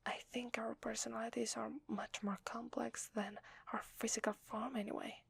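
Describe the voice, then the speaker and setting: A woman with an Italian accent playing a gentle, apologetic vampire in an ASMR roleplay, whispering softly and hesitantly close to the microphone. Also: on the high side, little pitch movement, moderate pace, no echo, exaggerated voice. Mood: shy, confessional. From Italy. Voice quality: soft breathy whisper. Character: gentle, apologetic female vampire confiding in a human. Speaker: ASMR voice actor. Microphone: close microphone, dry.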